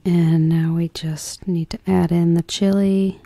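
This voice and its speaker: A soft-spoken woman hosting an ASMR cooking role play, chatting soothingly as if to a guest. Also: lowish voice, flat delivery, a little slow, no room sound. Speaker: ASMR host.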